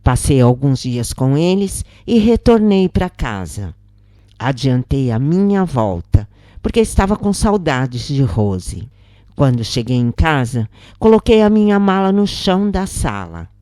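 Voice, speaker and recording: Slow, low-voiced Brazilian woman narrating. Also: sing-song, dark tone, no room sound, clean studio sound. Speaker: narrator of spiritist stories. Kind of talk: scripted story narration.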